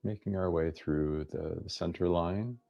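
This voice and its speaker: A man leading a guided meditation as its teacher, speaking in gently inviting instructions with long pauses between phrases. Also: deep voice, creaky, dull audio, faint music behind.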